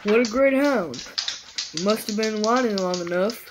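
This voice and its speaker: A woman's voice: mid-range voice, normal speed, noisy background, lightly acted. A woman acting in an old-time radio play. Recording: school radio play with music behind.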